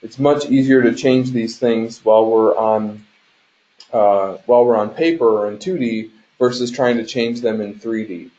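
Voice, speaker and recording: Male instructor, mid-pitched and mellow, explanatory delivery with frequent check-ins, muffled conference-call sound. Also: moderate pace. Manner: explanatory, often checks in with "right?". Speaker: game design instructor. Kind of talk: instructional lecture over a shared screen. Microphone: conference call microphone. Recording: dull, lo-fi conference call audio.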